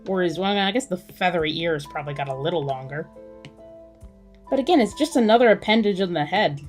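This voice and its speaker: A woman's voice: on the low side, expressive, brisk, darkish tone. A woman chatting casually as she draws on a livestream, thinking aloud in a musing way. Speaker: artist hosting a drawing livestream. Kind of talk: casual chat while drawing. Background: music.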